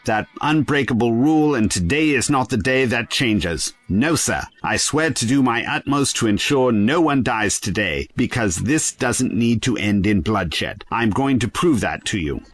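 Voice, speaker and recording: An actor playing a quirky, principled adventurer in an audio drama, talking in a wry, musing way. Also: male voice, mid-range voice, animated, measured pace, few pauses. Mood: resolute. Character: quirky, principled adventurer, talkative and wryly witty. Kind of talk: full-cast audio drama acting.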